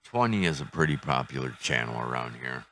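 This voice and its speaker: An older man giving a casual hands-on product review, thinking aloud in an unhurried, tentative way. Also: a bass voice, expressive, a bit bright, slight background noise. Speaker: radio reviewer.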